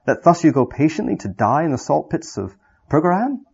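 A man's voice: fairly high pitch, big pitch swings, a little fast, vocal fry, a little mellow, breathy edge, highs cut off, heavily acted. A man voicing a noble male king in a read-aloud story, speaking in an earnest, commanding way.

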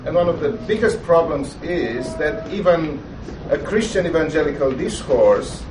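A Romanian man poses a halting question from the audience, slightly high-pitched and full of pauses. His voice carries from a distance through a reverberant hall. Steady background noise sits under it. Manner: hesitant, many fillers. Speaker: audience member asking a question. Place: lecture hall.

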